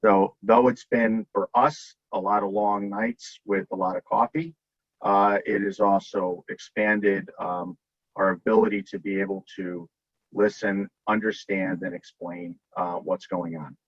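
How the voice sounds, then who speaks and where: A man answering off the cuff as a legislator at a public meeting, halting and repeating words as he goes. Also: fairly low pitch, steady tone, dry recording. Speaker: state legislator on a panel. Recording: dull, muffled meeting audio.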